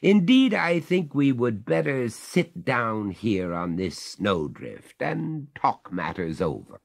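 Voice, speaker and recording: A man's voice: mid-range voice, very animated, takes it slowly, creaky, voice pushed up, full character voice. A man voicing a stern old master who speaks with firm, dry courtesy. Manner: firm, courteous, coldly ironic.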